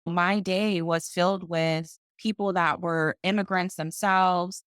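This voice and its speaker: A woman speaks in a lowish voice. She tells her story with confidence and slows down to stress key phrases. The sound is close and dry. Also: fairly even intonation, fairly bright tone, clean tone, no room sound. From Boston, US. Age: 30s. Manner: confident, deliberate, slows for emphasis. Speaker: podcast guest, financial coach. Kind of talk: interview storytelling.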